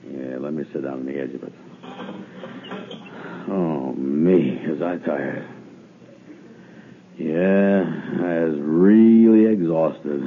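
An actor playing a slow, gullible, weary man in an old radio comedy, with a drawling, ungrammatical manner. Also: very deep voice, gravelly, full character voice. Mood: weary, puzzled. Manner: drawling, ungrammatical, muttering to himself. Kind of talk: 1950s radio comedy acting. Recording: narrow phone-like old radio recording, loud noise.

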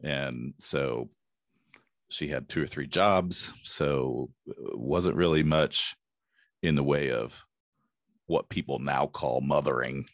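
A middle-aged man hosting a podcast, speaking in a halting, casual way with many pauses. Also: extremely low pitch, creaky, no room sound, clean studio sound. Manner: halting, many fillers and restarts. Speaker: podcast host. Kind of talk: casual interview.

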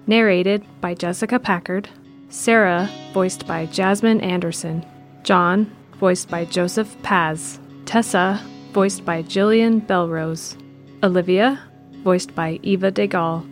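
A woman's voice: mid-range voice, fairly even intonation, slow. A woman reading scripted narration for an audio drama as its narrator. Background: music.

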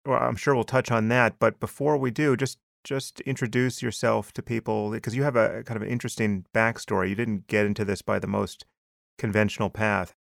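A man hosting an interview podcast, asking questions in a measured, thoughtful way. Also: lowish voice, fairly even intonation, creaky, no echo, clean studio sound. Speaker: podcast host.

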